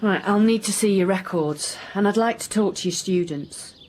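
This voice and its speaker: Brisk, dry woman acting a middle-aged police inspector. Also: medium pitch, darkish tone, slight background noise, natural voice. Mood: probing, dry. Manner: dry, direct, questioning. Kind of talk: naturalistic radio drama acting.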